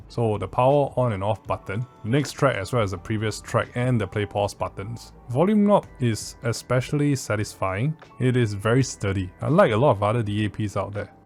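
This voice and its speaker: A man hosting a tech review in a casual, conversational way, with emphatic stresses and self-correcting asides. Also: lowish voice. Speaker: tech review video host.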